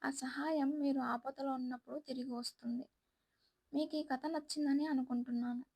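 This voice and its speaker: A woman's voice: high voice, steady tone, moderate pace, darkish tone, dry recording. A woman storyteller reading a scripted children's moral tale as narrator.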